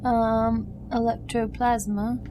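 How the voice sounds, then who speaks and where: A woman's voice: a little high, flat delivery, slow pace, background noise. A woman chatting casually as a player in a tabletop game, hesitant and questioning.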